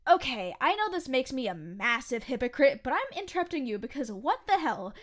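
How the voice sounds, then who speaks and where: A woman's voice: high-pitched, very animated, brisk. A woman playing a jumpy, fed-up young waitress. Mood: exasperated. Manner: exasperated, blunt, swears. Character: jumpy, exasperated young woman waiter at a haunted restaurant. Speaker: restaurant server. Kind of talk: comedic audio drama acting.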